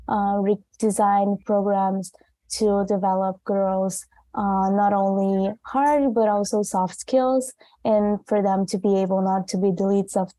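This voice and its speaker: A woman's voice: mid-range voice, warm tone, no echo, poor audio. A young woman from Brazil, hosting an interview over a video call in a friendly, hesitant way with many fillers. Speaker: host.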